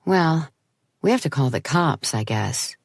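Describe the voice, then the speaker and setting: A woman voicing a wry, nervously practical heroine in a horror audiobook, with a deadpan, sarcastic way of talking. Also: sits low, a little slow, gravelly, dry recording, clean studio sound, exaggerated voice. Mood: rattled. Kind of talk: audiobook character dialogue.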